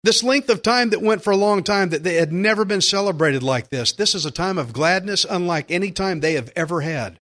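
A man preaching a sermon, earnest and emphatic, slowing down to stress key phrases. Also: high-pitched, lively intonation, a bit bright. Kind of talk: sermon with scripture readings.

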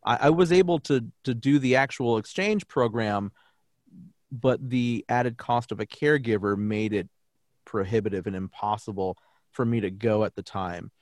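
Man, young adult, native English speaker, German teacher, earnest, reflective, restarts phrases, lowish voice, dry sound. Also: normal speed, dry recording. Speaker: German teacher and podcast guest. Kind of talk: conversational podcast interview.

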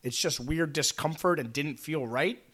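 A man with a somewhat raised pitch and a slightly raspy, worn-out voice co-hosts a casual sports podcast. He talks fast and loosely, restarting sentences and filling gaps with "uh" and "um.". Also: fairly bright tone. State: hoarse after a busy weekend. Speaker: podcast co-host.

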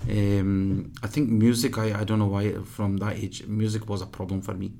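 A man in his fifties from Pakistan, reminiscing in an interview in a halting way full of fillers. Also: fairly low pitch, level tone, creaky, mellow, slight background noise. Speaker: guest.

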